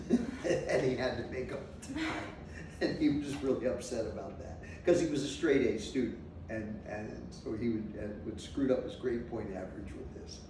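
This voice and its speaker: A man in his sixties from New Jersey, recalling his past in a rambling, halting way during an unscripted interview. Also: medium pitch. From New Jersey, USA. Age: 60s. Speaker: interviewee sharing memories. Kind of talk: unscripted oral-history recollection. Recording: echoey room, mic at a distance, faint noise.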